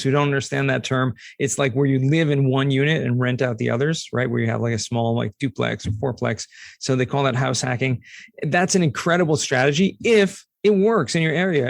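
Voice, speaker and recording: A man with a slightly hoarse voice, hosting a conversational podcast interview and thinking aloud as he goes. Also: mid-range voice, dry recording, studio quality. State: a little hoarse. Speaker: podcast host.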